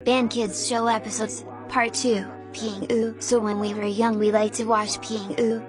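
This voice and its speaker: Female narrator, slightly high pitch, simple scripted read, clear music bed. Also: little pitch movement, measured pace. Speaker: video narrator.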